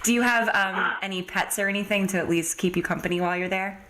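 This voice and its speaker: A woman's voice: mid-range voice, fairly even intonation, quick pace, fairly bright tone. Female podcast host over noticeable background noise. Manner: enthusiastic, effusive. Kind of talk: upbeat interview chat.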